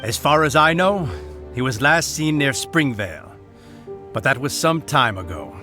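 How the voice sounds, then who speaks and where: A man's voice: medium pitch, expressive, measured pace, sharp tone, faint music behind. A man playing an earnest, officious knight guard in a video game, speaking in a formal, explanatory way. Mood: earnest, explaining. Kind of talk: animated video game voice acting.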